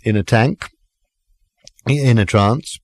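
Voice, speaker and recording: A man voicing a drunken, rambling, affronted storyteller, slurring and halting over his long words. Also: mid-range voice, no room sound.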